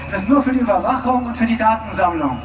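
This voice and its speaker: A man interpreting a speech live, loud and emphatic, at times searching for words. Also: sits high, fast talker. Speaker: German interpreter at a rally. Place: outdoor mass rally. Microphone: public address system, recorded from a distance. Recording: distant echoing rally PA with crowd and music.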